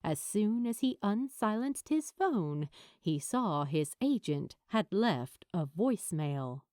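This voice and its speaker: A woman reading fiction as an audiobook narrator, with expressive, deliberate, dramatic phrasing. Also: medium pitch, no echo, clean studio sound.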